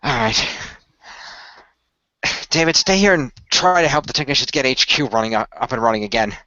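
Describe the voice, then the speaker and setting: A man playing a calm, technically minded team leader in an amateur radio play, speaking in a measured, authoritative, explanatory way. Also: medium pitch, no echo, lo-fi sound. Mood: instructing.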